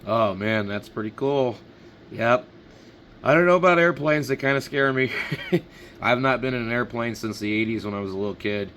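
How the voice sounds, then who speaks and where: A man's voice: mid-range voice, moderate pace, fairly bright tone, slight background noise. A middle-aged man from Washington State chatting casually as a ham radio operator. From Washington State, United States.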